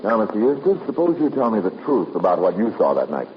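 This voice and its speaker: Deep-voiced, clipped detective. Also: male voice, sing-song, fast. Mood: probing, wry. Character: shrewd, tough, self-assured male private investigator. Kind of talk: 1950s radio drama acting. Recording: muffled, hissy 1950s radio recording.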